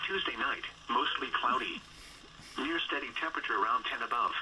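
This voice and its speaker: A man's voice: mid-range voice, fairly quick, bright, forceful tone. A male weather announcer reading a scripted forecast, heard through a radio scanner speaker. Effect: played through a radio scanner speaker. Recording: distant radio speaker, faint noise.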